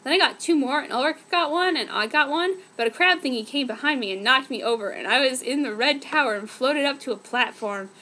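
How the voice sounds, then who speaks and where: High-pitched woman giving a dramatic reading. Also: fairly quick, few pauses, bright, forceful tone, low-quality recording. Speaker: reader.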